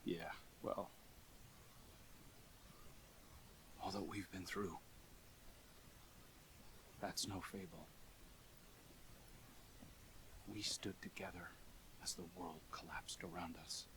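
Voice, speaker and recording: Low-voiced, earnest, proud brother. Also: male voice, fairly even intonation, background noise. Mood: wry, tender. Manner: earnest, measured, dramatic. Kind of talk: video game cutscene acting.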